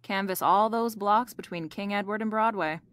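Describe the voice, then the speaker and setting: An actress plays a female private detective from a noir radio drama. She is brisk, bossy and quick with a wisecrack. Her voice sits at a middle pitch in a very dry recording. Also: fairly bright tone, no echo, natural voice. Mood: wry, narrating.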